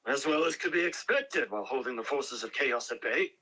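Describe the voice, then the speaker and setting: Man reading a solemn, bright-voiced celestial wanderer. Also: medium pitch, lively intonation, a little fast, breathy edge, poor audio. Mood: solemn, earnest.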